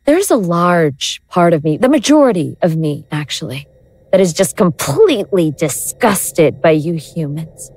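A woman's voice: on the low side, big pitch swings, darkish tone, heavily acted. A woman acting in an audio drama as a mocking elder god in the guise of a young woman, teasing and condescending. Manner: slow, teasing, condescending, self-possessed.

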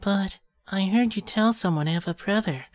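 A woman's voice: medium pitch, moderate pace, natural voice. A woman voicing a headstrong young boy in an amateur audio drama. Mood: curious, earnest.

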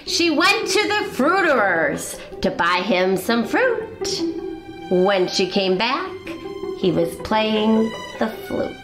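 A middle-aged woman reading a picture book aloud to young children, expressive and deliberate, as a storytime host. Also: a little high, echoey room, over loud music. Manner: expressive, deliberate, explains words for young listeners. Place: small indoor classroom-style set.

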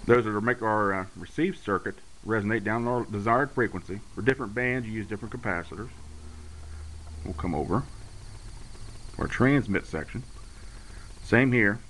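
Low-voiced man explaining gear over clear noise. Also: moderate pace, pauses often, a bit bright. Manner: matter-of-fact, explanatory. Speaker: radio hobbyist demonstrating his conversion work. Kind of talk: casual hands-on technical walkthrough. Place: indoor room at a home radio bench. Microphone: handheld camera's built-in mic. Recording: noisy amateur handheld camera audio. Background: clear background noise.

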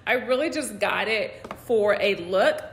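American woman chatting casually to camera like a decor youtuber, medium pitch, roomy echoey sound. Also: lively intonation, unhurried, slight background noise. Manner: conversational, informal, frequent "like" and "you guys". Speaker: home decor vlogger. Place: room at home, indoors. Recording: roomy indoor echo.

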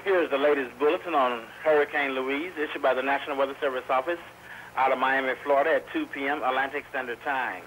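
A man's voice: medium pitch, fairly even intonation, fast. Man reading weather bulletins over faint noise. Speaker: weather radio announcer. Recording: faintly noisy 1990s weather radio on VHS. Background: faint radio noise.

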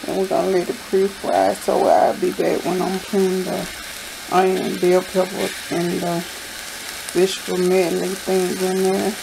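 Woman, gravelly, casual cooking chatter, home kitchen, sizzling pan noise. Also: lowish voice, measured pace. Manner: relaxed, rambling, talks while cooking. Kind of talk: casual cook-along narration. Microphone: camera pointed at the pot, speaker off-frame.

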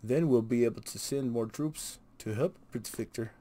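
A man reading as an earnest, loyal soldier in a fantasy game, measured and declaiming. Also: medium pitch, natural voice. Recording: noisy home recording.

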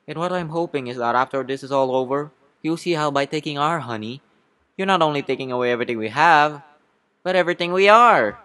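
A man's voice: medium pitch, fast, a bit bright, no echo, natural voice. A man voicing an earnest, indignant young bee who speechifies and asks pointed questions in a cartoon courtroom story. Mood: earnest, pleading.

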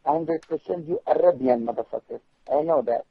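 A man's voice: a little high, wildly swooping pitch, moderate pace, gravelly. A man in an irritated phone conversation, curt and confrontational and swearing heavily. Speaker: prank call recipient. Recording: dull, dry telephone line.

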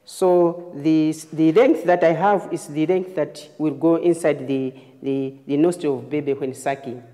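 Woman, nurse demonstrator, halting phrase-by-phrase explanation, soft dark tone, long room reverb. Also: low-pitched, steady tone. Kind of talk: hands-on clinical demonstration.

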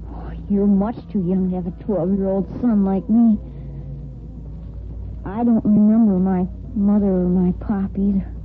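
A woman playing a brave, wistful twelve-year-old orphan boy in a 1950s radio soap, earnest and halting. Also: mid-range voice, steady tone, slight background noise, natural voice. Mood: shyly eager. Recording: muffled old radio broadcast, faint music.

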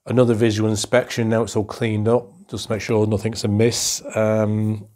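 Casting note: middle-aged man, lowish voice, unhurried workshop commentary, fillers, bench camera mic. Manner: calm, methodical, many ums and uhs. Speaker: electronics restorer. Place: cluttered home workshop.

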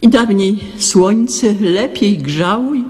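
An actress playing a fretful, nostalgic blind old grandmother in a radio drama, with a husky, aged voice and a lamenting, admonishing manner. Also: medium pitch, animated, slow, darkish tone.

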